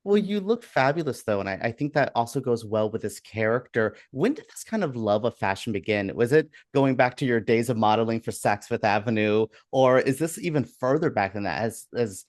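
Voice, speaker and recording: A man's voice: mid-range voice, lively intonation, fairly quick, few pauses, dry recording, clean studio sound. A man interviewing an actress, asking questions in an enthusiastic, complimentary way.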